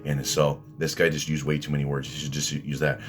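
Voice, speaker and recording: A man with a deep voice, ranting at his critics in rambling, combative commentary full of restarts and fillers. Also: monotone, fairly quick, music in the background. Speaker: vlogger answering critics.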